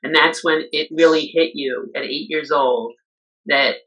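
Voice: mid-range voice, fairly even intonation, a little slow, sharp tone, lo-fi sound. An interviewer putting leading questions to a guest in a casual way.

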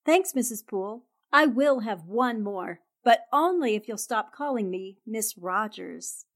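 A woman's voice: on the high side, expressive, measured pace. A woman acting a polite, gracious newspaper columnist in a 1940s-style radio drama.

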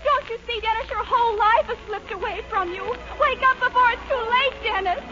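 An actress playing a devoted, dramatic sweetheart in a 1940s radio comedy. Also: female voice, very high voice, a little fast. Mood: pleading. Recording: muffled, very noisy old radio recording.

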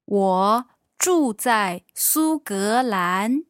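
A woman from China, a native Mandarin speaker, gives model sentences very slowly and deliberately. Her pitch sits in a middle range. The voice sounds close and dry. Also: expressive, dry recording. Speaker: language teacher. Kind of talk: podcast language lesson.

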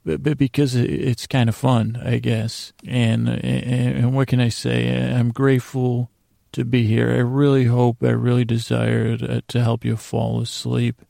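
Very creaky, monotone man rambling soothingly. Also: mid-range voice, dry recording, clean studio sound. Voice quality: gravelly, creaky. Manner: soothing, lulling, hesitant and halting with repeated words. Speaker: host of a bedtime story sleep podcast. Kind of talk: rambling, meandering bedtime monologue.

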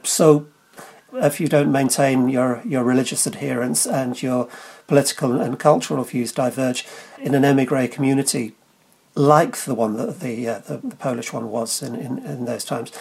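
A man in his sixties answers interview questions, stopping and restarting with plenty of fillers and repeated words. His voice is gravelly and a little husky, at a middle pitch. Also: moderate pace, darkish tone. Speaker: guest, science writer.

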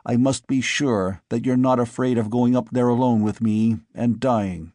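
A man voicing a weary, brooding older father who speaks in a soft, tired, probing way. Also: medium pitch, steady tone, normal speed, dry recording, clean studio sound, lightly acted. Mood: somber.

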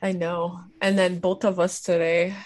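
A young woman co-hosting a podcast in casual chat, hoarse from losing her voice, laughing often as she tells stories. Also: on the low side, unhurried. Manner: laughs often, animated storytelling. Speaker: podcast co-host.

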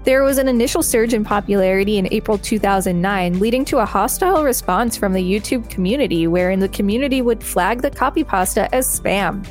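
A woman co-hosting a podcast reads an article aloud at an average pitch. Her delivery is steady and matter-of-fact. A faint guitar sits behind her voice. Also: a little fast, few pauses. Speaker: podcast co-host reading an article. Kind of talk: casual read-aloud.

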